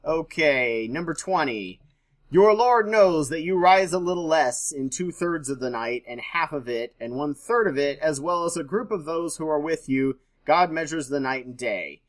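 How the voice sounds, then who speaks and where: A man's voice: on the high side, animated, moderate pace. A man reading aloud and adding casual, skeptical and irreverent off-the-cuff commentary. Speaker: host reading and commenting on scripture.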